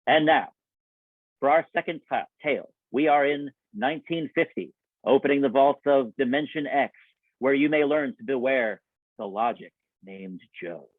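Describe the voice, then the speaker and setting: A man announcing and narrating from a script in old-time radio style, with a theatrical, deliberate delivery. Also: medium pitch, no echo. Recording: dull, band-limited radio broadcast sound.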